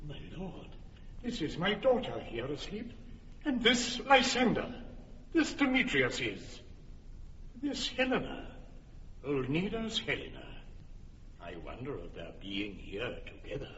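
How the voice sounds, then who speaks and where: An indignant elderly father who speaks slowly, his voice swinging widely as he pleads. The sound is muffled and hall-like, in the manner of old radio. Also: medium pitch, huge pitch swings, fairly bright tone, exaggerated voice. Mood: wondering.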